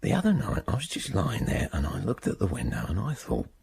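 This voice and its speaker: An actor playing a weary, bitter man of seventy in a radio drama, gravelly and husky, reflecting haltingly on his past. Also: sits low, huge pitch swings, darkish tone, no echo, exaggerated voice. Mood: haunted, reflective. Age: 70s. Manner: slow, halting, reflective monologue.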